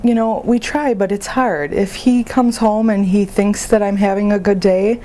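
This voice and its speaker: A woman playing a grieving young mother in a support phone conversation, speaking haltingly and plainly about her feelings. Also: mid-range voice, fairly even intonation, warm tone, a little hiss or hum, close to an everyday voice, rough recording. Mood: sad, hesitant. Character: grieving young mother, sad, exhausted, openly hurting. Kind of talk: role-played counseling phone conversation.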